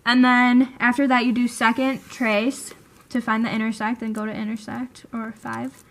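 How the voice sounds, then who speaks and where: Woman, methodical instructions, slightly high, flat. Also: normal speed, slight background noise. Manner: methodical listing of steps. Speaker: student explaining a calculator. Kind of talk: step-by-step instruction.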